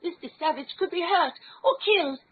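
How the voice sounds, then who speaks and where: A woman's voice: very high-pitched, a little fast. An actress playing an earnest, anxious young woman in a melodramatic old-time radio drama. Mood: anxious, fretful. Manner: formal, earnest. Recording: thin, phone-like old radio sound.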